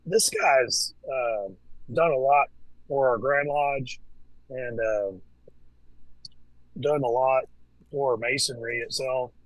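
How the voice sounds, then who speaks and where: Relaxed man with very dry sound. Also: medium pitch, takes it slowly, long pauses, dry recording, low-quality recording. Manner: relaxed, hesitant, with many fillers and restarts. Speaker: podcast guest, Freemason. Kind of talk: casual conversation.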